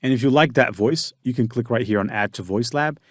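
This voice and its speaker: A man giving a casual software tutorial, explaining step by step with frequent fillers and restarts. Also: mid-range voice, brisk, no echo, clean studio sound. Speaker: tutorial instructor.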